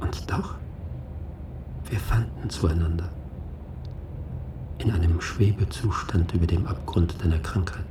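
An actor playing a tender, grieving lover in a hushed, intimate radio drama monologue. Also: male voice. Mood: wistful, grieving. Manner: slow, halting, intimate. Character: grieving lover named Alban, tender, haunted inner monologue. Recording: distant, echoey, loud rumbling noise.

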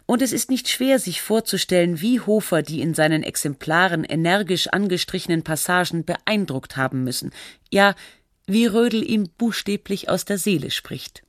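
A woman narrating a radio feature in a measured, factual and articulate scripted style. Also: lowish voice, no room sound, clean studio sound, close to an everyday voice.

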